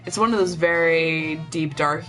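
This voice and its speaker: A woman's voice: moderate pace, a bit bright, low-quality recording. A young woman chatting casually on a live stream, blunt and profane, rambling with lots of filler words. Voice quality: lower voice than most women. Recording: faint music behind. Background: faint music.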